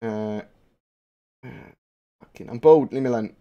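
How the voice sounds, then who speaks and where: A young man rambles through viewer questions on a live stream. He talks casually, swearing and stumbling over restarts and fillers. His voice sits at an ordinary, middle pitch for a man. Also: measured pace, dark tone, highs cut off.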